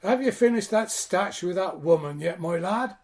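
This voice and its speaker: A man's voice: sits high, lively intonation, moderate pace. A man playing an affectionate, proud country father with a folksy, encouraging way of talking in an audio drama. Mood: fond, inquiring. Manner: folksy, encouraging, repeats himself.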